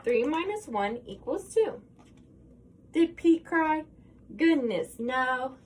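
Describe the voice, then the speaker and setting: A woman reading a children's picture book aloud in an expressive, sing-song manner, posing questions as if to young listeners. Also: sits high, slow pace. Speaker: storybook reader.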